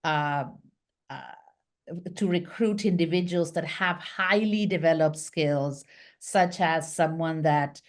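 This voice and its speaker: A middle-aged woman from Argentina, a business founder, talking as a guest on a video call interview with lively hand gestures and many fillers. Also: sits low, slow pace. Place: small home office, indoors. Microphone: video call webcam.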